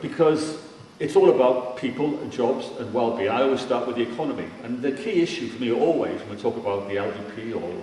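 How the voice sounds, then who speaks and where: A low-voiced man from Cardiff presents to a forum in a big, very reverberant hall, picked up from a distance. He moves along quickly, explaining his points informally but with emphasis, and sometimes restarts a phrase. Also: a little mellow. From Cardiff, Wales. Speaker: keynote speaker on regional transport. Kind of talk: public presentation with slides. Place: large indoor forum venue. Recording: very echoey hall, distant mic, faint noise.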